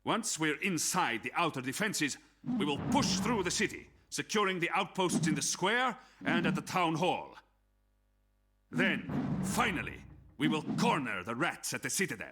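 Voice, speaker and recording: An actor playing a resolute Soviet officer giving a rallying military briefing in a game, with a raspy voice. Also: male voice, fairly high pitch, big pitch swings, background noise. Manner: forceful, rallying, measured.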